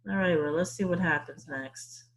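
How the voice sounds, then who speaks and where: A woman's voice: low-pitched, moderate pace. A woman leading an interactive story-time lesson for young children, thinking aloud and asking exaggerated questions. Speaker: teacher leading a read-aloud.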